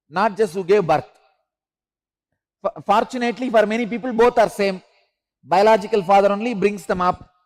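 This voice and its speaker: Spiritual teacher, male, high-pitched, slow repeated instructions, emphatic rises. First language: Tamil.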